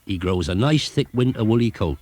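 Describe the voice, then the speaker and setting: A man's voice: fairly low pitch, expressive, a little fast, a little mellow. A man voicing a good-humoured, kindly farmer in a jovial, avuncular way, in a children's storybook reading on old cassette. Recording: noisy 1980s cassette tape transfer.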